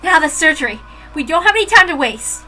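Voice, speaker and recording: A woman voicing a cold, calm male officer who gives curt, brisk orders. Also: high-pitched, faint music behind, voice pushed up, exaggerated voice. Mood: commanding.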